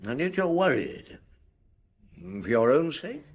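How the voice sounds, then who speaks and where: An actor playing an older, wry, unhurried police chief inspector in a radio drama, musing and gently probing with hums and pauses. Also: male voice, a little high, very animated, breathy edge, exaggerated voice.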